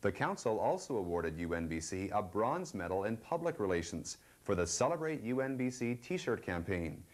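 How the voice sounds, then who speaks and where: A man with a fairly low voice reads out a university news segment. His scripted narration is measured and even, in the manner of a campus news program.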